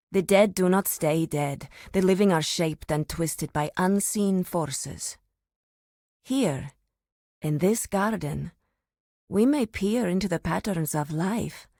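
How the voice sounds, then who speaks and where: Solemn female grove warden, fantasy game, slow delivery, commanding, formal, dry close recording. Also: mid-range voice, darkish tone, no room sound. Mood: solemn, guiding. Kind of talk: scripted fantasy game dialogue.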